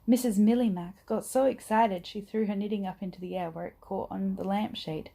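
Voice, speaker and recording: A woman reading a children's bedtime story aloud in an expressive, acted-out manner. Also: mid-range voice, a little fast, gravelly. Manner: animated, acts out dialogue and sound words. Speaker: storybook reader.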